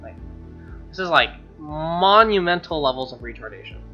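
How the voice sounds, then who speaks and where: Casting: young male gamer, bright forceful voice, slangy and exasperated, narrating a playthrough on a muffled mic. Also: a little high, expressive, moderate pace. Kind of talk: casual commentary and read-aloud narration. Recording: dull lo-fi mic, music underneath. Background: game music.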